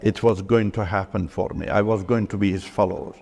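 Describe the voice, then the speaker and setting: A middle-aged man from Iran, a Turkish speaker, giving an earnest personal faith testimony in halting, broken-up phrases. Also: fairly low pitch, fairly even intonation, gravelly, a little mellow, breathy edge. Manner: earnest, halting, breaks up sentences with pauses and restarts. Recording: long hall reverb.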